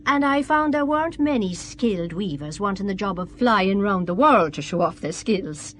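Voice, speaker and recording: A woman's voice: mid-range voice, animated, normal speed, slight background noise, lightly acted. An actress playing a chatty, friendly middle-aged Irish countrywoman in an audio drama. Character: chatty middle-aged Irish mother and weaver travelling abroad.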